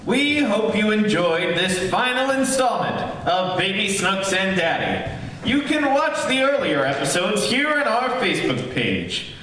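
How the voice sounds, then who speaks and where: A man with a high voice gives scripted, dramatic introductions as announcer. He is recorded far from the mic in a very echoey theater with faint noise. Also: very animated, measured pace, pushed and bright. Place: theater stage.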